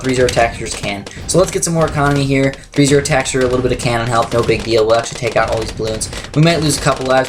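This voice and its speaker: A young man with a slightly raspy voice, talking quickly through game strategy as a let's play commentator. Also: mid-range voice, background noise. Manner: fast, chatty, self-correcting strategy talk. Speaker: gameplay commentator.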